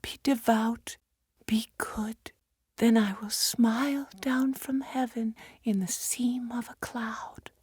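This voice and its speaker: Brief: a frail dying mother, breathy and whispering, put on by a woman reader, storybook reading, pitched up. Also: steady tone, slow, dry recording, studio quality, exaggerated voice. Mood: tender. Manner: hushed whisper. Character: dying gentle mother on her deathbed.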